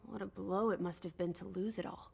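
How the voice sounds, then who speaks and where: Inquisitive, outspoken young companion. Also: female voice, mid-range voice, fairly even intonation, fairly quick, creaky, dark tone, a little hiss or hum. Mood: curious, pensive. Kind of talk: video game voice acting.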